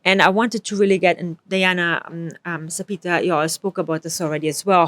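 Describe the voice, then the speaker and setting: Woman moderator, lowish voice, measured prompts. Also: dry recording. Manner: measured, reads a quotation, prompts panelists. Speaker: panel moderator. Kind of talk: panel discussion.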